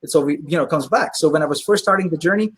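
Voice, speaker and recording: Fast-talking husky man, animated. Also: a little high. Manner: animated storyteller, many fillers. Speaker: pizzeria owner and guest. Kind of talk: casual interview chat.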